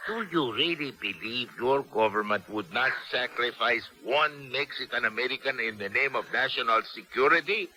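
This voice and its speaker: A secret-police interrogator from a 1970s radio drama. His voice is piercingly sharp and hard, at a middling pitch. He is cold, commanding and deliberate, with menace under his words. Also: poor audio. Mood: menacing. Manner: commanding, deliberate, coldly threatening.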